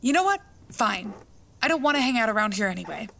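Woman playing a blunt suspicious young woman, slightly creaky. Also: on the high side, very animated, fast, a bit bright, slight background noise, heavily acted. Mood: angry, menacing. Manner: blunt, pushy. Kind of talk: audio drama dialogue, naturalistic.

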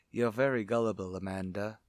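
A man playing a sinister, gloating organist and murderer in an old-time radio suspense play, speaking in a deliberate, theatrical way. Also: on the low side, dry recording, studio quality. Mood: suave menace. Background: music.

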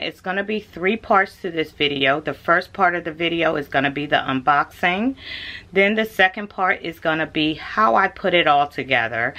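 A woman's voice: on the low side, sharp tone. A woman, chatting casually to camera as a vlogger and calling her viewers "y'all". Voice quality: raspy.

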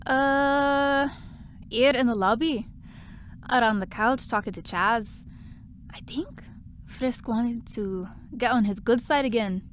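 A woman's voice: fairly high pitch, takes it slowly, a little hiss or hum. A woman playing a breezy, blunt young part-time worker in an audio drama, chatty and offhand. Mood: chatty, hesitant.

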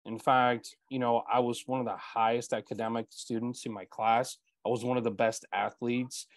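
A man's voice: mid-range voice, level tone, normal speed, no room sound. Earnest man in his forties, dry sound. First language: Bulgarian. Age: 40s. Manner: earnest, full of "you know" fillers. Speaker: podcast host. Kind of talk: reflective interview storytelling. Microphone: earbuds on video call.

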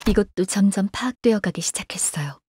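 An actress playing a composed, analytical young female agent in a video game, speaking formally and calmly in a soft, breathy voice. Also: mid-range voice, fairly quick. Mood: composed, detached. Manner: calm, detached, formal, refers to herself by name. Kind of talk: scripted game character voice lines.

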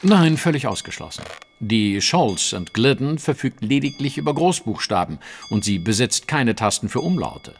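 Self-assured genius detective, audio drama; precise lecturing delivery with lively, swooping intonation. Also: male voice, medium pitch, normal speed, gravelly. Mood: confident, analytical. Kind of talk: German audio drama acting.

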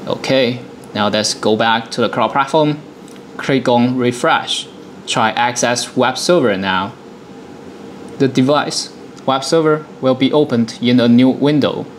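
A man in his thirties presenting a software demonstration, explaining things step by step in a dialogue. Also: medium pitch, unhurried, slight background noise. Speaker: product presenter.

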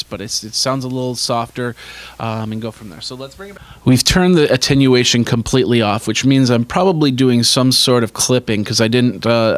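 A middle-aged man with a boisterous voice, speaking into a handheld mic in a small home studio and rambling casually with lots of restarts as he demonstrates gear. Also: mid-range voice. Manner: rambling, many restarts and fillers. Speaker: tech video host. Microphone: handheld dynamic mic held near the mouth.

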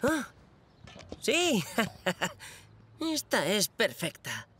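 A woman's voice: mid-range voice, extremely slow, slight background noise, heavily acted. Mischievous boastful cartoon boy. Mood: gleeful. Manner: boastful, excitable. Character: mischievous young boy playing a vampire. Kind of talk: cartoon dubbing.

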